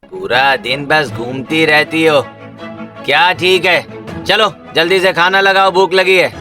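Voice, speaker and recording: Man doing a surly village husband, bright and forceful. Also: fairly high pitch, measured pace, soft background music. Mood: surly, drunk. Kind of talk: cartoon story voice acting.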